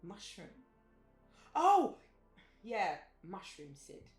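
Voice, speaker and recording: Dry sardonic female mate, a bit exasperated, mid pitched, audio play, roomy echo. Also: huge pitch swings, very slow, soft background music, exaggerated voice. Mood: weary, coaxing. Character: exasperated, sardonic but loyal adult female friend. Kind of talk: naturalistic audio drama dialogue. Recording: roomy, echoing sound.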